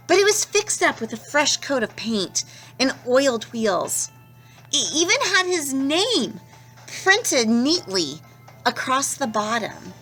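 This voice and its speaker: A woman teacher reading a children's picture book aloud, expressive and stopping to ask young listeners questions. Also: fairly high pitch, low-quality recording. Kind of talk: children's storybook read-aloud.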